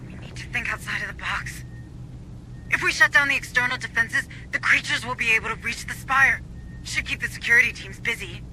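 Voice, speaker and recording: An actress playing a resolute, defiant commander giving blunt orders to marines in a video game. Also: female voice, a little high, brisk, pushed and bright, a little hiss or hum, poor audio. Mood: commanding. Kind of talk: video game military dialogue.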